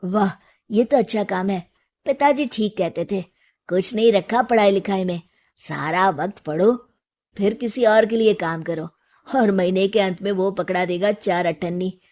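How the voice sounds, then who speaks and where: A woman's voice: medium pitch, measured pace, a little mellow, close to an everyday voice. A woman voicing an earnest, restless young man in a storybook tale. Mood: pleased.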